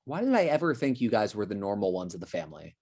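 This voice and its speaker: A man's voice: lowish voice, very animated, quick pace, darkish tone, slightly rough, heavily acted. A man playing a grumpy young cousin in his twenties in a comedy table read, exasperated and sardonic. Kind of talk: video-call table read of a comedy script. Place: video call from home.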